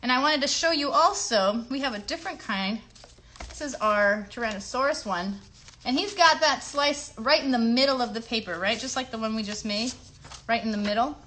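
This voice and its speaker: Bright, high-voiced woman teaching kids crafts. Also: moderate pace, a little hiss or hum. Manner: encouraging, simple, asks the viewers questions. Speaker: children's craft show host. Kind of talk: step-by-step craft demonstration for children.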